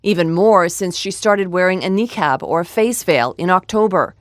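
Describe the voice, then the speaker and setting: A woman delivering a scripted television news report, crisp and composed. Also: lowish voice, moderate pace, dry recording, clean studio sound.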